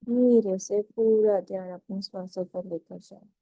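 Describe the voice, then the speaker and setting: A woman leading a guided meditation in a calm, unhurried, soothing manner. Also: medium pitch, no room sound, highs cut off. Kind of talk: slow guided meditation instruction.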